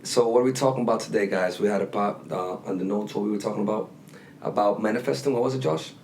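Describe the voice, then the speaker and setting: A man's voice: on the low side, fast talker, gravelly, a little mellow, slight background noise. A young man hosting a casual podcast, laid-back with loose slang. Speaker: podcast co-host. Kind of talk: casual chat.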